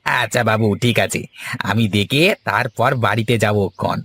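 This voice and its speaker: A man's voice: fairly low pitch, fairly even intonation, normal speed, fairly bright tone, slight background noise. A man voicing a landlord's field overseer in a cartoon folk tale. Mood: deferential. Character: landlord's field overseer, deferential upward, bossy downward.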